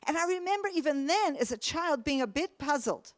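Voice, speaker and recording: A woman's voice: sits high, animated, a little fast, dry recording, studio quality. A middle-aged woman whose first language is English, telling her personal story in an earnest, emphatic way and lifting her voice on questions. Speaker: speaker. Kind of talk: personal testimony talk.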